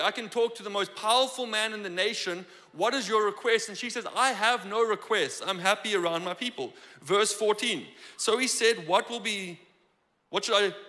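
Bright-voiced young South African preacher. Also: male voice, high voice. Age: young adult. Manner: joking, animated, gestures freely while preaching. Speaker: guest preacher. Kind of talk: sermon. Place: large church stage. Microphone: handheld microphone. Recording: long hall reverb.

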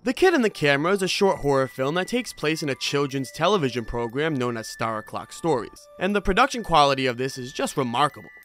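A man's voice: a little high, very animated, fast, hardly any pauses, fairly bright tone, faint music behind. A man hosting a video review, giving scripted commentary in an informal storytelling manner. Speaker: host of a horror video review channel. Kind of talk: scripted commentary with casual asides.

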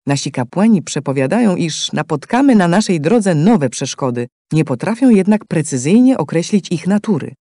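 A man with a fairly high, mellow voice narrates a game's story. The delivery is solemn and declamatory, scripted storytelling in a dry, close recording. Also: big pitch swings, normal speed, hardly any pauses, dry recording.

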